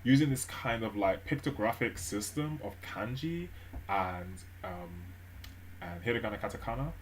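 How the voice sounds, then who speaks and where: A man live-streaming his language study, thinking aloud with many fillers and restarts at a gentle pace. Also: on the low side, expressive, moderate pace, slight background noise. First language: English. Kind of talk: relaxed study stream narration.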